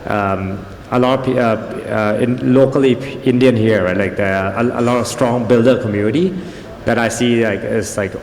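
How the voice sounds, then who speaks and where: A man from Thailand answering on a conference panel, halting and searching for words. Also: medium pitch, steady tone, gravelly, a little mellow. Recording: echoey conference hall.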